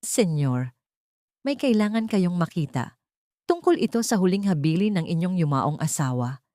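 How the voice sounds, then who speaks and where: Woman as a grave, low-voiced Filipino lawyer. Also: very animated, normal speed, warm tone, no room sound, clean studio sound. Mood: grave, formal. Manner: grave, formal. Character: formal, serious male family lawyer.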